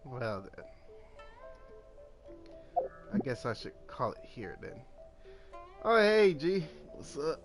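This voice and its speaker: Slow-talking man streaming a video game. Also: medium pitch, animated, soft background music. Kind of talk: casual live game commentary.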